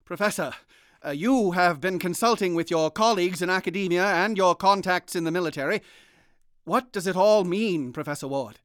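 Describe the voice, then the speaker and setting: An actor playing an urgent radio news anchor, formal and grave, in an old-time radio drama bulletin style. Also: male voice, sits high, moderate pace, a bit bright, smooth, no room sound, studio quality. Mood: formal, concerned. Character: urgent male radio news anchor reporting worldwide invasion.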